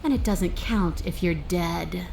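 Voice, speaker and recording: Slow, gravelly predatory beast princess. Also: female voice, on the low side, darkish tone, a little hiss or hum. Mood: cold, hungry. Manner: slow, cold, taunting. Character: predatory beast princess, feral hungry female monster. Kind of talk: video game character acting.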